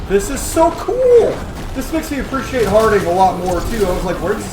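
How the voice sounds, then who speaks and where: Let's play host: lively swings in pitch, casual and sweary, roomy sound under loud game music. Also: normal speed, far from the mic. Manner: sweary, enthusiastic. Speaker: gamer playing and commenting on a video game. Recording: echoey room, loud game music behind. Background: loud video game music.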